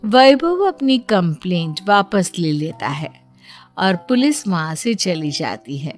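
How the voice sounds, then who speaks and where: A woman's voice: on the low side, big pitch swings, slow pace, faint music behind. A woman storyteller giving a scripted narration.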